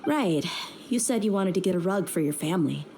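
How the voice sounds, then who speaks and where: A woman's voice: lowish voice, lively intonation, a little fast, noisy background. A woman playing a tough, candid young desert mercenary in a video game, speaking frankly and reflectively. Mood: calm, resolved.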